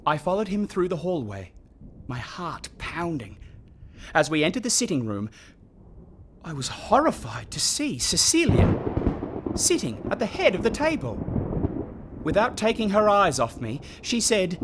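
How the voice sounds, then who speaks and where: An actor playing a sensitive young orphan who narrates a gothic radio mystery in a hushed, intense, literary way, with a breathy voice. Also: male voice, high-pitched, sing-song, measured pace, long pauses, background noise. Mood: fearful, hushed. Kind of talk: dramatic first-person radio play narration.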